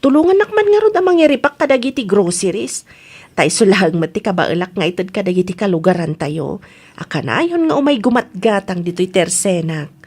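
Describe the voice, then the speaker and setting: A woman with an Ilocano Filipino accent acting a sharp-tongued, bossy shop-owning aunt in a comedy radio drama, emphatic and nosy. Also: mid-range voice, big pitch swings, fairly quick, breathy edge. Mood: friendly, asking.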